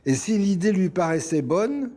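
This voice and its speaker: A man paying tribute in an interview, deliberate, with pauses and repeated words. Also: high voice, very animated, warm tone. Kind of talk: reminiscing in interview.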